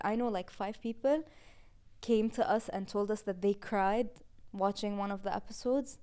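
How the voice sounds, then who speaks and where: A woman in her twenties, a podcast host, talking casually and warmly about finishing a season, with frequent "like"s. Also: medium pitch, smooth. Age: 20s. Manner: casual, chatty with many "like"s and laughs. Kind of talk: heartfelt season-finale reflection.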